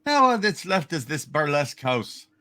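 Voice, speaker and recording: A man voicing a gruff, weary, no-nonsense policeman in an amateur comic play reading. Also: fairly high pitch, very animated, moderate pace, sharp tone. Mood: brusque.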